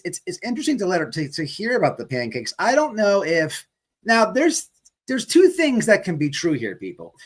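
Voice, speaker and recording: A man delivers casual livestream commentary with very lively, swinging pitch. He mocks his subject, repeats himself for emphasis, rambles and swears freely. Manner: profane, repetitive, rambling.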